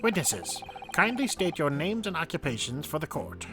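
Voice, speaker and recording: A man's voice: fairly high pitch, very animated, normal speed, music in the background. A man voicing a condescending, menacing older prosecutor in a courtroom game dub, formal and theatrical, with noise in the recording. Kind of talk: fan-dubbed courtroom game acting.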